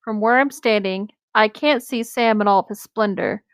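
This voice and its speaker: A woman's voice: mid-range voice, normal speed, smooth, dry recording. A woman narrating a story aloud in a casual, conversational style with some banter. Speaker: co-host reading an online story aloud.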